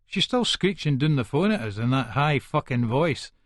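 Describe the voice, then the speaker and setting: A man's voice: medium pitch, sing-song, normal speed, no room sound, studio quality, close to an everyday voice. An actor playing a short-tempered, foul-mouthed Scottish hard man, narrating aggressively and colloquially in an Edinburgh accent for an audiobook. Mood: irritable, scornful. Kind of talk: first-person audiobook narration, dramatic.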